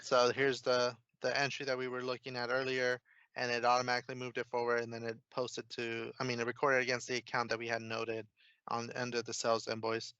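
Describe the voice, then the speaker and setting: A man presenting a casual software demo, explaining each step informally as he clicks through. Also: mid-range voice, completely flat delivery, fairly quick, clean tone, no echo, highs cut off. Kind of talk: casual screen-share software walkthrough.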